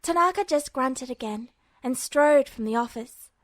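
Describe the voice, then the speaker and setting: A woman reading fanfiction aloud as podfic narration in a steady, even manner. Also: high-pitched, normal speed, dry recording. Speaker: fanfiction reader.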